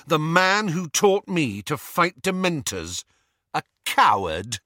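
A man's voice: on the high side, big pitch swings, slow pace, sharp tone, dry recording, studio quality, pitched above the performer's own voice, exaggerated voice. A man voicing a hot-headed, accusing teenage boy in an audiobook story. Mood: furious, accusing.

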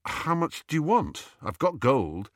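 A man's voice: fairly high pitch, big pitch swings, unhurried, no echo, clean studio sound, voice pushed up. A man voicing an earnest, polite and direct teenage wizard boy in an audiobook. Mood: careful, polite. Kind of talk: audiobook character voice.

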